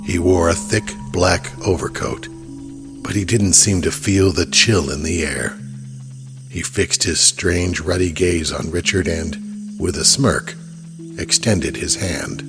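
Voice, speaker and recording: A man's voice: sits low, slow pace, over music. A man narrating a horror audio drama in a scripted storytelling style.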